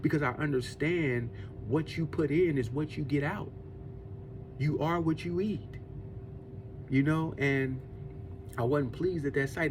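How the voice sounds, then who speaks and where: A man's voice: mid-range voice, background noise. Earnest man preaching self-control. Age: 40s. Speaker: podcast host. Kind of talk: faith-based motivational monologue.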